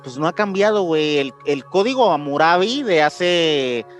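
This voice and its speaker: Mexican guy on a podcast, kinda high voice, slangy and repeats himself, music behind him. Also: lively intonation, normal speed. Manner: slangy, repeats words as he thinks aloud. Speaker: podcast co-host. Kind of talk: casual conspiracy podcast chat.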